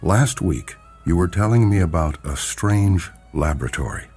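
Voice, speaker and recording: A deep-voiced, measured hypnotist doctor. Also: male voice, animated, darkish tone, slightly rough, faint music behind, exaggerated voice. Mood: soothing, hypnotic. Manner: measured, soothing, formal. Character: defensive hypnotist doctor, soothing with patients. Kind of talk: radio drama acting.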